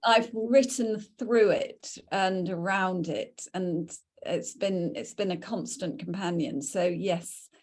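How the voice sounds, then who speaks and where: A woman's voice: fairly low pitch, slow, a little mellow. A middle-aged woman telling anecdotes as a panel guest in a conversational, self-deprecating way. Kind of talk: anecdotal recollection.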